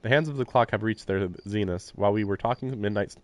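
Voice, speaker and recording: A man's voice: lowish voice, quick pace. A man live-streaming a visual novel, reading the text aloud and adding casual, wry, offhand comments. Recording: faint game music behind the voice. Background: game soundtrack.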